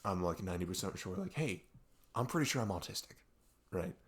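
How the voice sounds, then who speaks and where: A man playing a young best friend who confides in a halting, rambling, exasperated way in an ASMR audio roleplay. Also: low voice, lively intonation. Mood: confiding. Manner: rambling, halting, exasperated sighs and nervous laughs. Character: young male best friend venting about arguing with parents.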